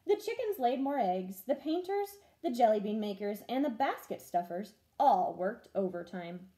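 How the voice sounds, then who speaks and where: A woman teacher reads a children's story aloud. Her voice is fairly high and mellow, and her intonation is very animated and expressive throughout. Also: moderate pace.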